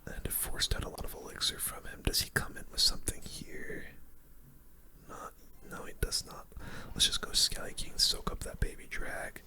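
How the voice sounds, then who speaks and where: A game streamer whispering casual, slangy ASMR commentary in a breathy, unvoiced voice close to the microphone. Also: normal speed. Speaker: gaming video host.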